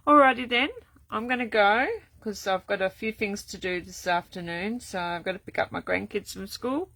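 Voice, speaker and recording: Older woman, rambling live craft chat, gravelly croaky voice, bright tone, dry close sound. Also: mid-range voice, moderate pace, no room sound. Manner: rambling, many ums and restarts. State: voice going croaky. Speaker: card-making crafter. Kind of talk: casual live craft demo. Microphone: camera set up at desk.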